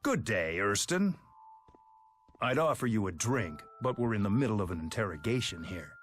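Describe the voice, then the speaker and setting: Smug detective, sarcastic mock politeness, needling, theatrical, game dub, music behind. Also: male voice, fairly low pitch, big pitch swings, normal speed, a bit bright. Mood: smug, taunting. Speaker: detective character. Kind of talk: English video game dub acting.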